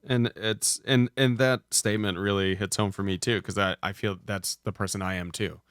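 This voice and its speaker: A man hosting a streaming talk show, asking questions in a halting casual way with frequent restarts. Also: lowish voice, fairly even intonation, fairly bright tone, clear, resonant tone, no room sound, studio quality. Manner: halting, restarts, laughs easily. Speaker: host. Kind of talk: casual podcast chat.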